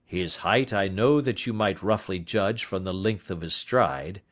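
A man's voice: deep voice, measured pace, fairly bright tone. A man reading the part of a brilliant Victorian detective, who lectures in a precise, emphatic way. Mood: lecturing.

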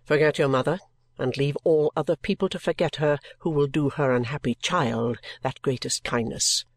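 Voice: no echo, studio quality, natural voice. A reader voicing a cold, severe old godmother. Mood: coldly stern. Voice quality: cold and low. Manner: slow, grave, unforgiving. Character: stern cold woman godmother, bitter and severe.

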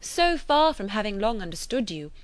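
A woman's voice: medium pitch, big pitch swings, moderate pace, no echo, voice pushed up, close to an everyday voice. A woman narrator acts a proud young lady of good breeding in a classic novel. She speaks formally and with indignant emphasis, holding firm against offence. The recording is dry, with no room sound. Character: indignant, proud, well-bred young lady rebuffing a suitor. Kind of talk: dramatised classic novel reading.

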